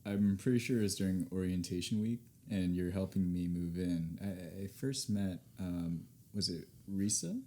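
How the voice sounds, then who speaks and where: A man's voice: sits low, moderate pace, hardly any pauses, dark tone. A young American man, a podcast guest, telling stories in an easygoing, rambling way during a casual interview. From United States.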